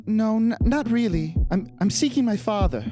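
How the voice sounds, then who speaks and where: A woman's voice: fairly high pitch, animated, normal speed, lightly acted. A woman playing a shy, earnest half-elf in a tabletop game, speaking hesitantly with stammers and repeated starts. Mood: earnest, worried. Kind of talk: improvised tabletop role-play. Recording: music behind the voice.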